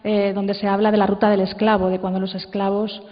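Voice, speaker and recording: A woman's voice: mid-range voice, little pitch movement, fairly quick. A woman giving an informal conference presentation, introducing and commenting on audio clips. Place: conference hall. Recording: echoey hall, muffled sound.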